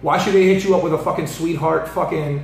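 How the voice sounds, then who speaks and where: A man in his thirties talking casually as a host, animated and profane, often laughing. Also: on the high side, fast, vocal fry. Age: 30s. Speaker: podcast host and artist manager. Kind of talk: casual chat. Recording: echoey room with faint noise.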